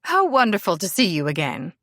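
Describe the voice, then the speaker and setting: A woman's voice: medium pitch, sing-song, a little fast, fairly bright tone, no echo, clean studio sound. A woman voicing an eccentric, airy older aristocratic lady with a posh English accent. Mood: cheerful.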